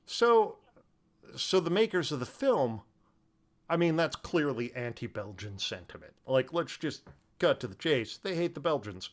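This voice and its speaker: A man's voice: on the high side, sing-song, unhurried. A man co-hosting a casual film discussion, talking colloquially with emphatic, incredulous delivery. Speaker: podcast co-host discussing a film. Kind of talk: casual chat.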